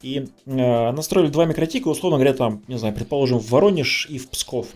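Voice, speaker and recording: A man's voice: medium pitch, fast, darkish tone, faint music behind. A man in a casual tech discussion, talking informally like someone telling stories from work. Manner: informal, storytelling. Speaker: podcast guest.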